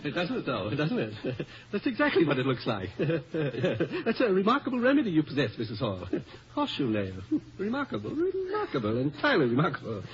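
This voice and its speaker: An actor playing a blustery, jovial, self-satisfied guest of honor with clipped, repetitive phrasing, in 1950s radio style. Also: male voice, fairly high pitch, huge pitch swings, normal speed. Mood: jovial, pleased. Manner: clipped, chuckling, repeating himself. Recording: muffled, noisy old radio broadcast.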